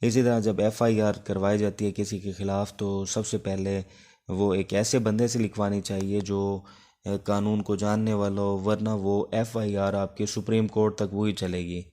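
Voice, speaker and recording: A man's voice: fairly low pitch, little pitch movement, normal speed, dark tone. A man with a Pakistani accent giving an informal law lecture as a teacher, explaining step by step and switching between two languages. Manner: explanatory, code-switches between Urdu and English.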